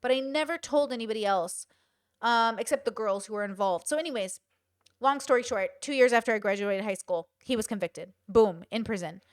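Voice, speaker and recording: A young woman hosting a commentary show, talking straight to her viewers in a casual, animated way with some swearing. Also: a little high, brisk, no echo, clean studio sound.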